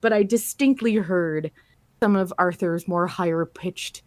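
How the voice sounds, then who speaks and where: A woman hosting a casual fan podcast, chatting in an animated, storytelling way and swearing casually. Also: on the low side, measured pace. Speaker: podcast host.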